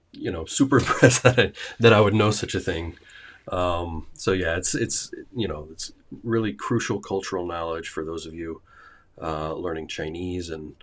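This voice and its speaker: A man hosting a language-learning livestream, chatting casually with many fillers and restarts. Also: low voice.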